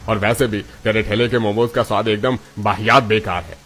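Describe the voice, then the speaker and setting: A man's voice: lowish voice, steady tone, brisk, fairly bright tone, a little hiss or hum, close to an everyday voice. A man voicing an arrogant, jealous, scheming restaurant owner in a cartoon story, taunting and boastful. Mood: gloating. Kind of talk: Hindi cartoon story dialogue.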